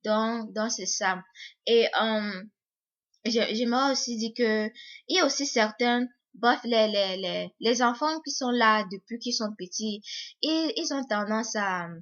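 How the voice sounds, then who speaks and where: A woman's voice: on the high side, a little slow, fairly bright tone. A young woman, vlogging to her viewers in a hesitant way, often repeating words and restarting. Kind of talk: casual monologue to viewers. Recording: slightly muffled lo-fi audio.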